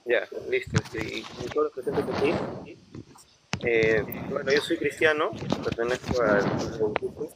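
A man's voice: mid-range voice, very animated, normal speed, background noise. A man from Peru, calling in to a religious debate, hesitant with many fillers. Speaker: caller. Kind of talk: call-in debate.

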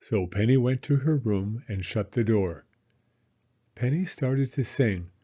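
A man narrating a children's story as a storyteller, in a measured, expressive manner. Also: medium pitch, husky, highs cut off.

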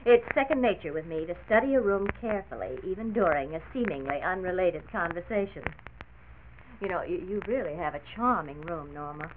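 A woman's voice: lowish voice, expressive, a little fast, lightly acted. An American woman playing a modest, self-deprecating interior decorator in a 1940s radio drama. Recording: tinny, hissy 1940s radio transcription disc.

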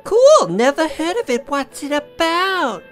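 A performer voicing a friendly, chirpy female hotel receptionist in a video game, polite and drawn-out. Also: high voice, very animated, faint music behind, pitched above the performer's own voice. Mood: friendly, curious.

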